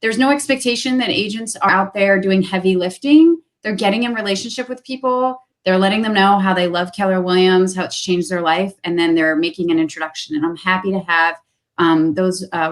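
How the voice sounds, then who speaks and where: A woman talking business as a guest on a video call, upbeat and full of fillers, repeating her phrases for emphasis. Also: fairly low pitch.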